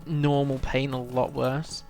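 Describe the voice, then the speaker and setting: A man with a gravelly, creaky edge to his mid-pitched voice hosts a casual game stream while nursing a cold. He rambles along and thinks aloud, addressing his chat. Music plays faintly behind him. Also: little pitch movement, slow, darkish tone. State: feels full of cold, often clearing throat. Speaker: game livestream host.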